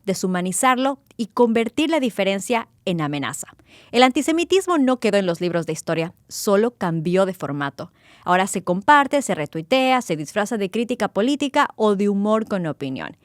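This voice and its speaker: A woman's voice: medium pitch, no echo. Woman hosting a podcast, dry close sound. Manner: emphatic, expressive delivery. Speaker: podcast host. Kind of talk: interview with scripted intro.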